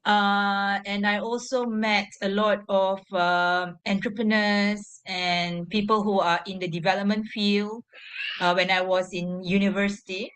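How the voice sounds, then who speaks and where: A young adult woman from Singapore answering interview questions, pausing often with fillers. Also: medium pitch, steady tone, a bit bright, clean tone. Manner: halting, frequent fillers and pauses. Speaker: guest, education social entrepreneur.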